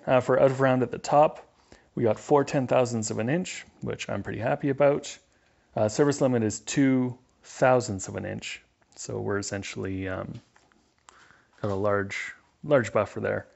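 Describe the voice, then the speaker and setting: A man's voice: on the low side, creaky, a little mellow, highs cut off. A man giving a casual, matter-of-fact instructional voice-over as a hands-on mechanic.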